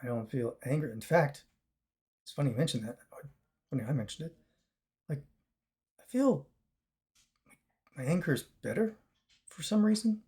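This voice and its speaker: A man with a raspy, chesty voice talks in a loose, rambling way, full of fillers and restarts. He is still recovering after a long fast. His voice sits a touch high and sounds husky throughout. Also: lively intonation, warm tone. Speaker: vlogger. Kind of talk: casual talk to camera.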